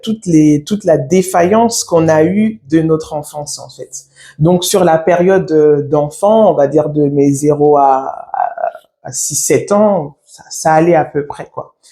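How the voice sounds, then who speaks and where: Halting woman in her thirties giving testimony. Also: low voice, warm tone. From France. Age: 30s. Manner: halting, reflective, many pauses. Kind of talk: personal faith testimony. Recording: echoey room.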